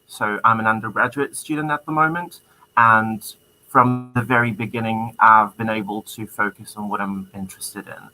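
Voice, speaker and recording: A young man with a Czech accent answers a host's questions in a fairly low, bright voice. He talks earnestly, pausing on fillers as he gathers his thoughts. Faint noise sits under the line. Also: rough recording. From Czech Republic. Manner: hesitant, many fillers, earnest. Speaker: student guest. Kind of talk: casual interview answers.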